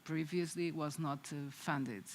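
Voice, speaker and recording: A woman presenting at a conference, halting with many fillers and restarts. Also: deep voice, fairly even intonation.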